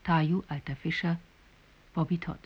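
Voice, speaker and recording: A woman announces radio play credits formally. Her voice is husky and she lists each item very slowly. The recording is dull and old. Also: sits low, steady tone. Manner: measured, formal listing.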